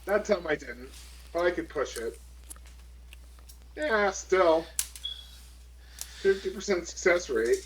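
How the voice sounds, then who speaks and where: Higher-voiced man playing a tabletop game. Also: animated, takes it slowly, pauses often, slight background noise, rough recording. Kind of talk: casual game-table chat and role-play.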